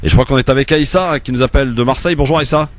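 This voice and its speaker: A man's voice: medium pitch, rapid-fire. A man hosting a radio call-in show, chatting easily with many fillers into a studio mic.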